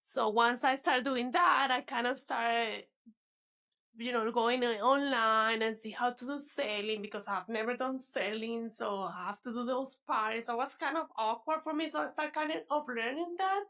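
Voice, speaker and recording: Woman with a Venezuelan Spanish accent, native Spanish speaker, high voice, gravelly fry, chatty guest, laughs often. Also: normal speed, pushed and bright. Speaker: guest, fitness coach. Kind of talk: casual interview chat.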